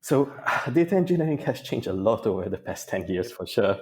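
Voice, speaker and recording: A man answering interview questions as a guest, in a thoughtful, measured way with small restarts. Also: mid-range voice, very animated, a little mellow. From Hungary. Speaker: guest data engineer.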